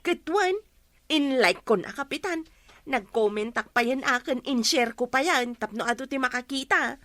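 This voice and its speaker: A woman's voice: sits high, lively intonation, normal speed, fairly bright tone, dry recording. A woman playing an eager, gossipy village woman in a comedy radio drama, chatty and exclamatory. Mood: flattering.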